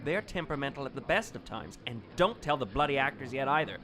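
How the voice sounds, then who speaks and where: An actor playing a suave but easily exasperated radio show host, in a theatrical, old-time radio drama manner. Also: male voice, medium pitch, sing-song, fast, a bit bright. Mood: exasperated, hushed. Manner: theatrical, polite in front, snippy aside. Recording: music behind the voice.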